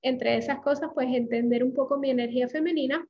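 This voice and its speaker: A woman in her forties with a light Puerto Rican accent, a guest coach talking earnestly in a casual interview, often pausing on fillers. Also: high voice, steady tone, lo-fi sound. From Puerto Rico. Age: 40s. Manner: earnest, fluent, with many fillers.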